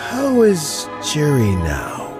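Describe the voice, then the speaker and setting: An actor playing an older, weary, dignified government official in a video game, speaking in a measured, formal way. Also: male voice, fairly high pitch, huge pitch swings, over loud music, full character voice. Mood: probing.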